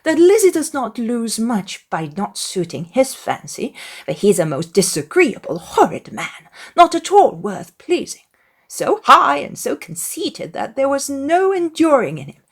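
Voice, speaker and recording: A woman's voice: a little high, very animated, a little slow, voice pushed up, full character voice. A woman voicing an indignant, gossipy middle-aged mother with an emphatic, effusive manner in a dramatized audiobook. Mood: bitterly indignant.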